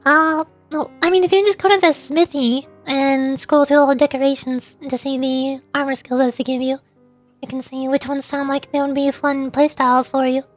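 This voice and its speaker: A woman's voice: sits high, flat delivery, normal speed, faint music behind. A woman livestreamer chatting casually with her viewers in a playful, mock-indignant way. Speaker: VTuber livestreamer.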